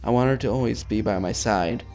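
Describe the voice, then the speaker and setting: A man's voice: lowish voice, a little fast, a little mellow. A man hosting a let's play, reading a visual novel aloud casually, stumbling over names and swearing offhandedly in his asides. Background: music.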